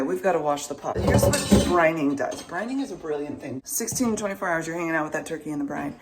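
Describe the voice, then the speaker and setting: Young woman, low husky morning voice, bubbly chatty cook-along, echoey kitchen, faint background noise. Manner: bubbly and playful, talks to viewers while cooking. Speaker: cooking vlogger. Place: home kitchen.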